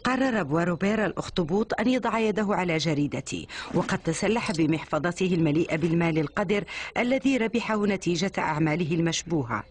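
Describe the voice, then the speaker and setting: A woman playing a brisk, indignant attendant to a duchess in a cartoon dub, with a husky voice. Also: on the low side, animated, natural voice. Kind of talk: dubbed cartoon acting, reading aloud.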